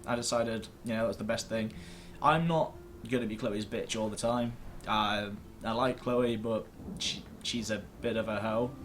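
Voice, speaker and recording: A man's voice: fairly low pitch, steady tone, normal speed, few pauses, a little hiss or hum. A man gives casual, rambling gaming commentary to camera, self-deprecating and mildly sweary, recorded at his computer. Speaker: host of a gaming video series. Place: indoors at a computer screen.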